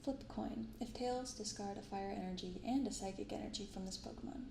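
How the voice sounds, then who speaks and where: A soft-spoken woman hosting an unhurried ASMR-style unboxing, recorded in an untreated apartment room. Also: mid-range voice. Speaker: host of a card unboxing channel. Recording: roomy untreated apartment, clear background noise.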